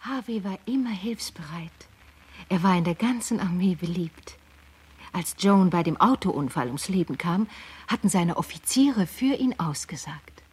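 A woman's voice: lowish voice, lively intonation, a little slow, darkish tone, exaggerated voice. An actress playing a woman witness defending an admired man in a radio play, in a whispery voice. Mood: quietly defensive. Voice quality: very breathy, whispery.

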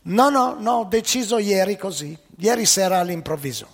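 A man's voice: high-pitched, vocal fry. A man doing a stubborn traveler in broken Italian. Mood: insistent. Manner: broken Italian, slow and insistent. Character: eager older male traveler, stubborn, calm. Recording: reverberant hall.

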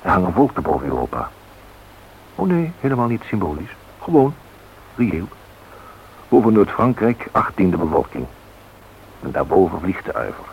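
A man narrating a radio drama in measured, clipped phrases with a wry, reflective manner. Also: deep voice, very animated, creaky, highs cut off, a little hiss or hum, exaggerated voice.

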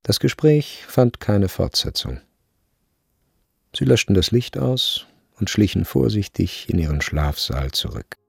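A man narrating a literary radio play in a measured, restrained and sober manner. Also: on the low side, sing-song, gravelly, husky, no room sound, clean studio sound, heavily acted. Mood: grave, restrained. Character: sober literary narrator of a boarding-school novel.

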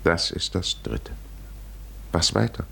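An actor playing a brooding male storyteller in a 1950s radio play, speaking in a solemn, deliberate, literary way. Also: low voice, very animated, creaky, fairly bright tone, breathy edge, heavily acted. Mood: grave, admonishing. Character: grave male storyteller addressing Odysseus, brooding. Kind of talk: 1950s radio drama narration.

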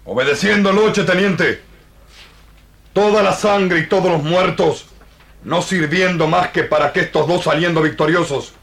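An actor playing a proud, fierce war chief in an old radio drama, declaiming in broken, stylised grammar. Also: male voice, sits high, measured pace, gravelly, a bit bright, full character voice. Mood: bitter.

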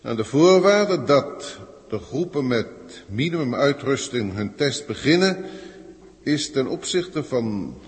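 A man's voice: mid-range voice, lively intonation. An exasperated senior alien bureaucrat in an old Dutch radio drama, with a Netherlands Dutch accent. He is pompous and pedantic, weighing his words formally, and his voice rings in a long hall reverb. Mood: formal, dictating. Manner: formal, pedantic, grumbling, deliberate hesitations. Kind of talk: 1960s Dutch radio play acting.

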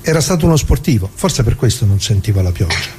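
A man's voice: on the low side, very animated, fast, a little mellow. An actor playing a plain-spoken old workingman who remembers, in a colloquial, reflective radio theatre monologue full of rhetorical questions. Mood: reflective, wry. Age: older. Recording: clearly noisy recording with hum.

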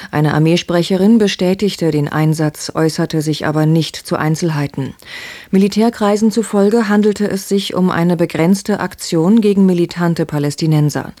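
Woman newsreader, standard german, soft dark tone, measured formal bulletin, very dry sound. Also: fairly low pitch, no room sound. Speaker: radio newsreader. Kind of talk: scripted news bulletin reading.

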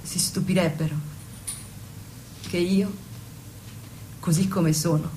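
An Italian stage actress playing a wistful, naive young wife, speaking in an earnest, plaintive, musing way in a radio play. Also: female voice, lowish voice, fairly even intonation, takes it slowly, warm tone, a little hiss or hum, poor audio. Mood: sad, resigned. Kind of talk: stage-play dialogue with snatches of song.

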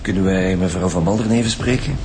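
A man's voice: low-pitched, fast talker, noisy background, lightly acted. A man with a Flemish accent playing a direct, businesslike police detective in a radio drama. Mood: polite. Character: brisk, businesslike male police detective in charge.

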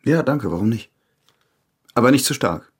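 A male actor playing a detached, embittered ex-convict in a crime radio drama, speaking in a deliberate, brooding way. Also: medium pitch, lively intonation, a little hiss or hum, close to an everyday voice. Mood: guarded, cool.

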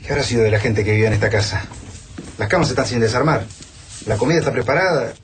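An actor with an Argentine accent playing a brash, jumpy, suspicious young survivor in a radio drama, slangy and excitable. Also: male voice, medium pitch, fast talker, slight background noise, close to an everyday voice. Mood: uneasy. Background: music.

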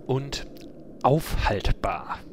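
Man voicing sarcastic adventurer, deadpan, unhurried slow delivery, clear background noise, comedic fantasy audio drama. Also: mid-range voice. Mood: mocking. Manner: deadpan, mocking. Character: dry, sarcastic male adventurer.

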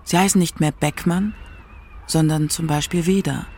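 A narrator reading a scripted radio documentary in a measured, prepared way. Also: mid-range voice, fairly even intonation, a little mellow, a little hiss or hum.

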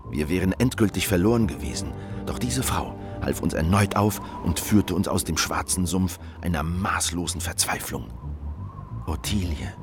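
Casting: haunted revolutionary, low brooding voice, grave radio drama delivery, music underneath. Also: male voice. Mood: grieving. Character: haunted, grieving male revolutionary looking back on defeat. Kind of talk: literary first-person radio drama narration. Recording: music behind the voice.

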